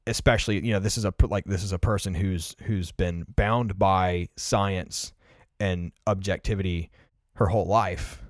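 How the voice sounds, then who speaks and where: A young man with a low-pitched voice talks in a relaxed, conversational podcast style. He explains his ideas reflectively, peppering them with filler words. The recording is dry, with very little room sound. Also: moderate pace, few pauses, no echo. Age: early 20s. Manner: reflective, explanatory, filler-heavy with "like" and "you know". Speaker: podcast co-host discussing favorite films.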